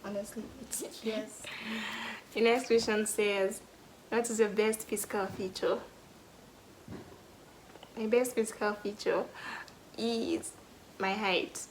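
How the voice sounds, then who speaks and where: Soft-spoken Nigerian woman. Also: medium pitch, flat delivery, slight background noise, poor audio. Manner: thoughtful, pauses with "hmm" and "um", drops to a soft whisper at times. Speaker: vlogger. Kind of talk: casual question-and-answer vlog.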